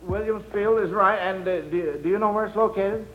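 Man, quiz show host, fairly high voice, folksy hesitant delivery, dull vintage broadcast sound. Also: moderate pace. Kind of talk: hosting a children's radio quiz show.

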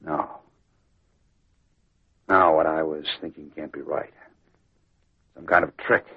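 An actor playing a shifty, scheming racketeer in an old-time radio crime drama, with a guarded, hard-boiled delivery. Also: male voice, a bass voice, fairly even intonation, slow pace, slightly rough, heavily acted. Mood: evasive. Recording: tinny, band-limited vintage radio recording.

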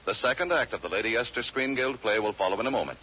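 A man's voice: on the low side, fast talker, slight background noise. A man reading a formal scripted announcement as a 1940s radio announcer. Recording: dull old radio broadcast, music behind.

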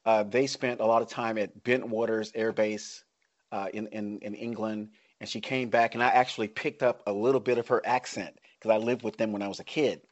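A man's voice: lowish voice, steady tone, brisk, no echo, dull audio. A middle-aged man with an American accent, hosting a podcast with scripted introductions and interview talk. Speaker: podcast host.

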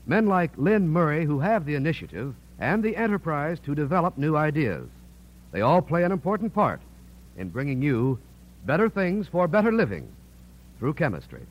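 Lively-pitched male vintage radio spokesman. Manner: polished, measured announcer delivery. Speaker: commercial spokesman. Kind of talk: 1950s radio sponsor narration. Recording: dull, band-limited vintage radio recording.

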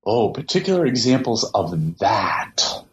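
A man answering interview questions at length, in a measured way, often repeating words as he thinks. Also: fairly low pitch, big pitch swings. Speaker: interview guest, translator. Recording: dull lo-fi sound, faint hiss.

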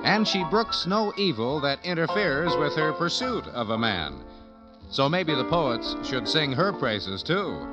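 Lively American male radio announcer. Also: fairly high pitch, measured pace, loud music behind the voice, rough recording. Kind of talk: 1940s-50s radio announcing, scripted credits and promos.

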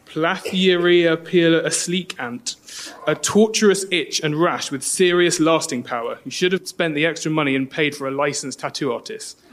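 A man's voice: a little high, moderate pace, fairly bright tone. A man on a podcast panel giving a dramatic reading.

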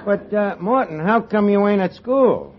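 A brash wisecracking barkeep. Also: male voice, high voice, expressive, a little slow, a little hiss or hum. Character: brash wisecracking male tavern manager. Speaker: tavern manager. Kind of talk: 1940s radio comedy acting. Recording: dull old radio broadcast, audience laughter.

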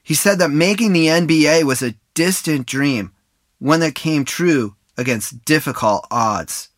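A man hosting a sports podcast, reading scripted narration with a smooth, even delivery. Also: on the high side, unhurried, dry recording, clean studio sound. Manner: smooth read-aloud delivery. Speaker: podcast host.

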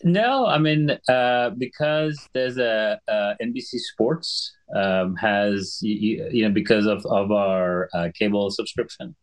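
Middle-aged guy from sri lanka, really low voice, explains stuff carefully, keeps clearing his throat. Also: slow, hardly any pauses. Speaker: guest physician. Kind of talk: conversational podcast interview.

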